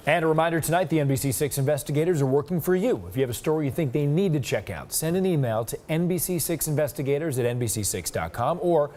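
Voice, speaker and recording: A woman's voice: low-pitched, expressive, quick pace, few pauses, mellow. A woman news anchor reading scripted introductions and viewer reminders.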